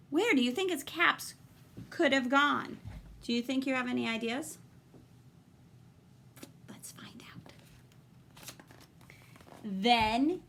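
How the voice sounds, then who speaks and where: Slow, high-voiced woman reading a storybook. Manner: expressive, deliberate, puts questions to young listeners. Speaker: teacher reading a picture book aloud. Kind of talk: children's storybook read-aloud.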